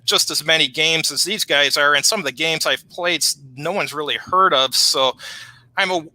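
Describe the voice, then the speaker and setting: A middle-aged man with a Chicago accent chatting casually as an interview guest, rattling along in a rapid-fire, chatty way. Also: a little high, sharp tone, dry recording, poor audio. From Chicago, United States. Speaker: guest, war game reviewer.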